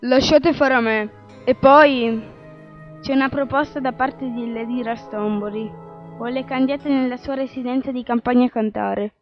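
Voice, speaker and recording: A woman playing a patient, flattering male opera agent in a radio drama, speaking in a placating, persuasive way. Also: a little high, normal speed, music in the background, close to an everyday voice. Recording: dull, lo-fi radio drama sound.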